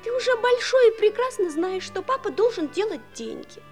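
Very high-voiced bossy little girl. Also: big pitch swings, normal speed, loud music behind the voice. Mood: bossy, annoyed. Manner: scolding, know-it-all. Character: bossy, prim young girl, older sister. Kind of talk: 1960s radio play acting.

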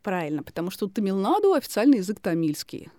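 A woman's voice: medium pitch, sing-song, fast talker, mellow, dry recording, clean studio sound. A woman speaking as a guest linguist in a conversational interview, explaining things by asking and answering her own questions. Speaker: guest linguist describing her field research.

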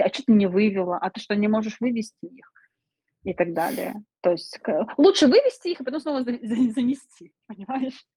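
A woman speaking as a streamer guest in a relaxed interview, chatty, laughing often and filling pauses with hesitations. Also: a little high, no echo, low-quality recording. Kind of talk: casual interview chat.

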